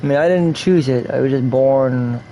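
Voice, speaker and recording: A man's voice: medium pitch, moderate pace, muffled recording, slight background noise. A man from New York rambling through casual game stream commentary, repeating himself and slipping in and out of a put-on English accent. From New York, USA.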